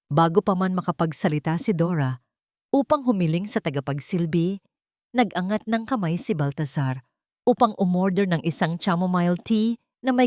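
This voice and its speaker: A woman narrates a scripted love drama in a fairly low voice. Her delivery is solemn and sentimental, and the sound is dry with no room around it. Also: darkish tone, no echo. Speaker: storyteller, narrator.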